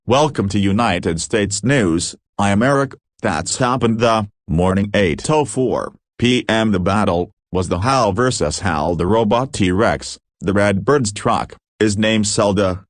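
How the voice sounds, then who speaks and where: A man's voice: on the low side, expressive, measured pace, hardly any pauses, no echo, clean studio sound. A man voicing a formal cartoon news anchor reading a scripted mock bulletin.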